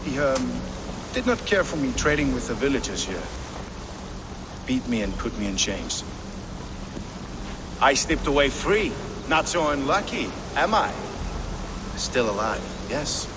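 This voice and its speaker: An actor playing an eccentric, eager, jittery Norse outcast in a video game, halting and sing-song, repeating himself. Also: male voice, medium pitch, sing-song, noisy background. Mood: nervous, wary. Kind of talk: video game character acting.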